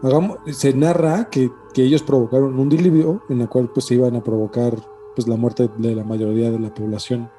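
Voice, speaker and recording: A man's voice: medium pitch, fairly even intonation, a little fast, warm tone. A man with a Mexican accent co-hosting a podcast, chatting casually with plenty of slang and swearing. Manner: slangy, profane. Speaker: podcast co-host. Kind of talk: casual chat. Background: music.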